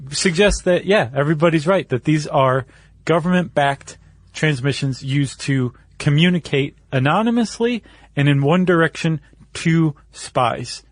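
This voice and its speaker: A man's voice: a little high, measured pace, dry recording. A man co-hosting a podcast, explaining things step by step in casual conversation. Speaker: podcast co-host.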